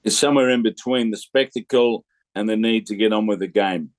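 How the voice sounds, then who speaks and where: A man with a fairly low voice talks as a cricket pundit. He is conversational and anecdotal, pausing and restarting as he builds his point. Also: dry recording. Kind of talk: conversational sports commentary.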